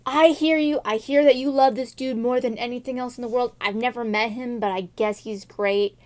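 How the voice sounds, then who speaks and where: A woman's voice: a little high, fairly even intonation, moderate pace, darkish tone, natural voice. A woman voicing a kind fairy godmother who talks in a sassy, casual, chummy way. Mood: sympathetic. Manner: sassy, casual girlfriend-style talk.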